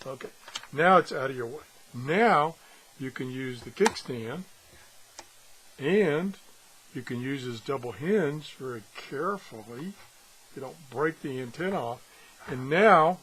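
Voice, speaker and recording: A man's voice: medium pitch, fairly bright tone, slight background noise, poor audio. A man giving a casual, unscripted product demonstration as a reviewer, rambling and thinking aloud with broken-off asides. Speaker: radio reviewer.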